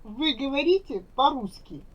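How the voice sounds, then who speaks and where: A woman teaching Russian, saying each phrase slowly and carefully so learners can repeat it. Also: sits high, animated. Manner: careful, deliberate enunciation. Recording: dull lo-fi recording.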